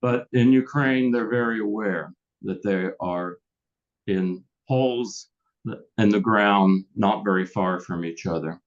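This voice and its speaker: A man's voice: lowish voice, slow pace, dull audio. A man moderating a club talk who relays questions and explains points earnestly.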